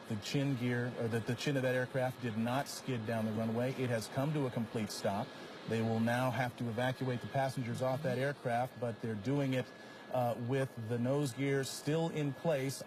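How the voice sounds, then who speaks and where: An American man anchors live breaking news over a clearly noisy feed with vehicle drone. His voice sits at a medium pitch as he gives running commentary.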